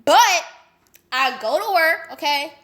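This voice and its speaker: A young woman from Las Vegas, sick and congested with a nasal voice, telling a story in a chatty, animated way full of slang and fillers. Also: high-pitched, slow pace. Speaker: vlogger. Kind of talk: casual storytime vlog.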